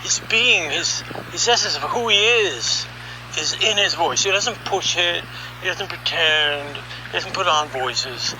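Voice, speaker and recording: A man with a fairly high-pitched voice tells a story in a conversational podcast style. He is wry and musing, leaning on key words and pausing for drama. There is clear noise behind his voice. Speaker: storyteller and voice session director.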